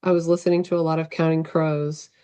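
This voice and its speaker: Casting: woman author in a casual video-call interview. Lower-pitched, thoughtful, halting phrasing. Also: level tone, dark tone, clean tone. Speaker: novelist being interviewed.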